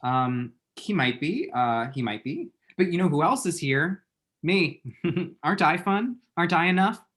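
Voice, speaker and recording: A man playing a flustered, lovesick young man at a comedy screenplay read, halting and awkward. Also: a little high, animated, fairly bright tone. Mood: nervous, showing off. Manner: halting, awkward, self-mocking.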